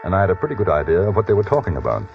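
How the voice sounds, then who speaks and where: A man playing a calm, principled gentleman in an old radio western, speaking in a measured, polite, articulate way. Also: sits low, vocal fry, full character voice. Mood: reflective. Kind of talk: old-time radio western acting and first-person narration. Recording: dull old radio recording with music.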